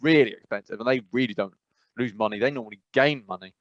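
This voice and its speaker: A man's voice: medium pitch, fast talker, no room sound. A man co-hosting a casual gaming podcast, rambling and opinionated, with lots of restarts. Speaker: podcast co-host. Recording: dull, dry sound.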